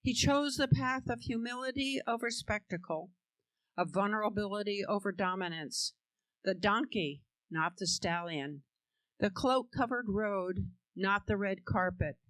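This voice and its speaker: A woman's voice: medium pitch, a little slow, fairly bright tone, no echo, rough recording. A woman giving an emphatic, rhythmic scripted reading. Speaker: reader.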